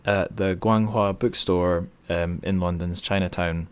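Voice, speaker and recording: Low-pitched man, chatty and informal. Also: steady tone, a little slow, clean tone. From northern Britain. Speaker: podcast host interviewer. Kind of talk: casual conversational interview.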